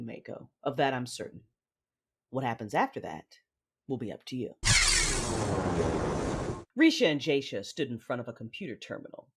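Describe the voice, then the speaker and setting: An actress playing a cold, commanding Sith mother who narrates her own story in a measured, menacing, controlled manner. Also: a bass voice, husky, exaggerated voice. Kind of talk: first-person audio drama narration with dialogue. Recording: music under the voice.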